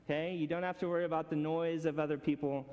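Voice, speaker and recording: A man's voice: fairly high pitch, level tone, fast talker, big-room sound, lo-fi sound. A man preaching a conversational sermon to a congregation, asking them questions. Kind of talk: casual sermon.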